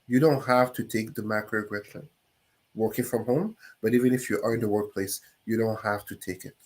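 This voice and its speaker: Measured, rhetorical male panelist. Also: on the low side, fairly even intonation, darkish tone. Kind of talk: opinionated panel commentary. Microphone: video call.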